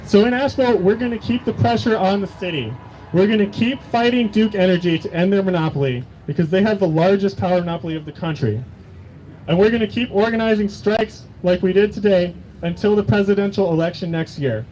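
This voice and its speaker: Man, rousing rally speech, high-pitched, faint background noise. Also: brisk, darkish tone, poor audio. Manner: rousing, urgent call to action. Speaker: activist organizer. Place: outdoor rally.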